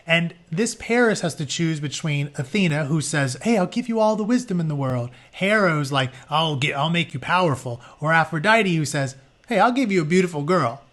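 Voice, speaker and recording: A man with a fairly high voice works as a teacher reading a book aloud. He moves between storytelling narration and casual, conversational asides that explain things for the listeners. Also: fairly bright tone. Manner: informal, conversational, explains as he goes.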